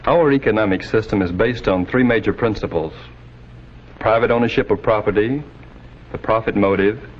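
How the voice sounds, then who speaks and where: A man's voice: lowish voice, normal speed, lightly acted. A man giving a scripted recitation in the style of a 1950s propaganda film. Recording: dull lo-fi 1950s film soundtrack.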